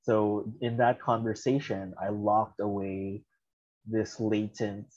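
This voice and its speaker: Husky low young man, lo-fi sound. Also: little pitch movement, slow pace, darkish tone. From Philippines, raised in the US. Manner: reflective, candid, laughs at himself. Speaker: podcast guest. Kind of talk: casual personal storytelling.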